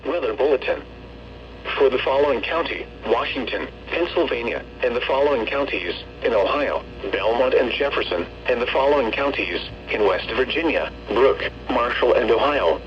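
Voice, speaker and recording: Automated male announcer, mid-pitched text-to-speech, formal and measured, reading storm warnings over dull, faintly noisy radio. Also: expressive. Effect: weather radio broadcast. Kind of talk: scripted severe weather warning bulletin. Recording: muffled lo-fi radio with hum, noise.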